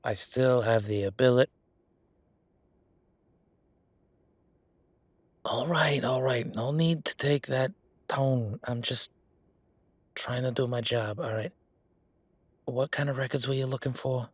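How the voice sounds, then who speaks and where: A man's voice: medium pitch, unhurried, breathy edge, no echo. A man playing a defensive, chatty government records clerk in an audio roleplay, talking in a rambling, colloquial way. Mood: defensive, put-upon. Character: male records hall clerk, defensive, chatty, lazy bureaucrat. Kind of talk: solo audio roleplay, conversational acting.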